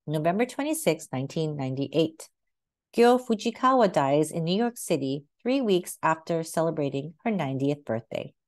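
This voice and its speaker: A woman narrates a children's book in a read-aloud style. Her voice sits a little low but moves through a lively range of intonation. The phrasing is measured and expressive.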